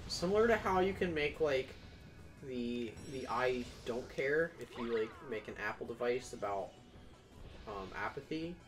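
A man's voice: medium pitch, a little slow, soft background music. A young man chatting casually while playing a video game with a friend, joking and laughing often. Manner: joking, giggly, animated. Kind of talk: casual gaming chat.

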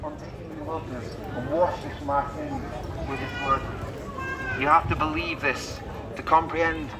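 A man preaching an open-air sermon over a loudspeaker in short, declarative, emphatic phrases. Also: on the high side, steady tone, moderate pace, crowd noise. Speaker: street preacher. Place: outdoors on a busy pedestrian shopping street. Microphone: loudspeaker PA, picked up by camera at a distance. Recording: roomy, distant PA sound, noisy street.

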